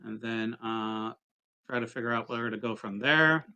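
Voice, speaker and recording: A man with a bright, forward voice at a medium pitch talks casually as a tabletop game player, describing his character's moves in a plain, informal way. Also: moderate pace, smooth.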